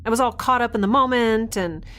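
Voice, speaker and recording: A woman's voice: a little high, brisk, bright, forceful tone. An actress playing a quirky, sleep-deprived young woman scientist in a sci-fi audio drama, chatty and rambling, often interrupting herself. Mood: weary, apologetic.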